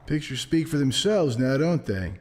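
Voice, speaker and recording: An actor playing a hard-boiled, sardonic police detective pressing a suspect in a noir audio drama. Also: male voice, medium pitch, animated, moderate pace, a little mellow, lightly acted. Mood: taunting. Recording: reverberant, clear music underneath.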